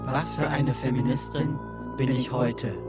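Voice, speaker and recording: An actor plays a questioning, introspective male figure in a radio play. His mid-pitched voice competes with very loud music. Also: fairly even intonation, measured pace, lightly acted. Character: questioning feminist with a transgender body.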